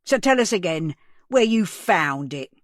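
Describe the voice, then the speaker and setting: A woman's voice: fairly low pitch, very animated, unhurried, no room sound, clean studio sound. A woman voicing a male police sergeant who questions a suspect in a pressing, accusing way. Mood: sceptical.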